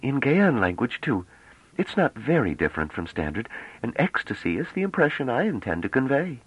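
Male narrator as young woman, proud, coy, careful diction, lifted pitch, lively swoops, storybook emissary. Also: normal speed, breathy edge, no room sound, low-quality recording. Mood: chatty, playful. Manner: slightly hesitant, careful pronunciation, solemn and playful.

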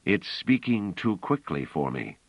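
A man's voice: low-pitched, very animated, a little slow, slightly rough, no echo, muffled recording, heavily acted. A man voicing a mild, anxious scholarly man in an audiobook, speaking hesitantly. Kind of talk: audiobook character voice.

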